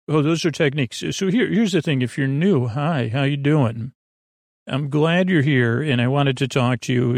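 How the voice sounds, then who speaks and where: Male host for a bedtime podcast. Creaky, fry-heavy voice, rambling and hesitant, lulling delivery, very dry recording. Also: medium pitch, no echo. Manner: meandering, hesitant, trailing off into tangents. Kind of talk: rambling sleep podcast intro, soothing monologue.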